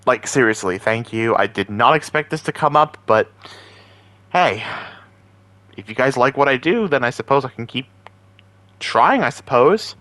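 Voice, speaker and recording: A man's voice: mid-range voice, animated, normal speed, long pauses, fairly bright tone, dry recording. A man hosting as an amateur voice impressionist, chatting casually to viewers in a rambling, self-deprecating way. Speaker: amateur voice impressionist and video host.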